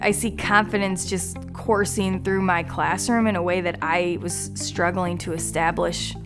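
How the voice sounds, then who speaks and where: A woman's voice: fairly low pitch, little pitch movement, a little slow. A woman teacher speaking in a testimonial interview. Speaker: classroom teacher. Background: background music.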